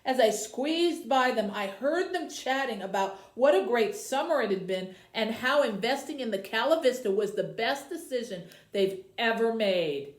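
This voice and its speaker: A woman with a high voice works as a library host for young viewers. Her pitch moves up and down in an expressive storytelling style. The room adds noticeable echo around her words. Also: moderate pace, hardly any pauses. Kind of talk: reading a children's novel aloud, then addressing young viewers. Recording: roomy, echoey indoor sound.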